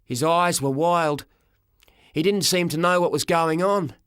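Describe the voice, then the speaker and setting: A man narrating a first-person story for an audiobook, dramatic and expressive, in short measured phrases. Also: fairly high pitch, clean tone, no room sound, clean studio sound.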